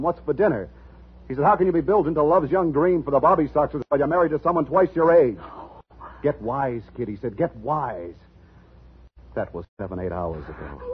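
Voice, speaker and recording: An actor playing a bitter, resentful young husband in his twenties in 1950s radio drama, brusque and husky-voiced. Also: mid-range voice. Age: 20s. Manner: brusque, swinging from sullen to heated outbursts. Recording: dull, lo-fi 1950s radio recording.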